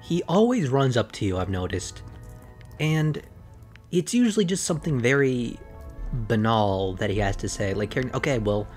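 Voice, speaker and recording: Hoarse-ish guy, rambling let's-play commentator, casual fillers, faint music under the voice. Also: mid-range voice, very animated, moderate pace, darkish tone. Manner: rambling, chatty, frequent fillers and self-corrections. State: slightly hoarse. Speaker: gameplay commentator. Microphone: desk microphone.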